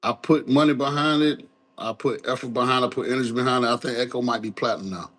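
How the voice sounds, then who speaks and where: A rapper, talking in a casual interview, halting with many fillers and restarts. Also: male voice, steady tone, fairly bright tone. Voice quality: deep voice. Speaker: guest, rapper.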